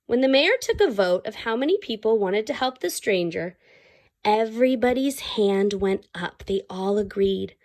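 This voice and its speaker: A woman reading a children's story aloud expressively, like a teacher, pausing to explain and ask the young listeners questions. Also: mid-range voice, animated, a little mellow.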